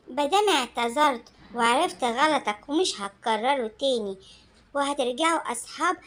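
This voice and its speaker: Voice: sits high, sharp tone. A performer voicing a loyal, obliging magic broom in a children's cartoon, reassuring in Egyptian Arabic.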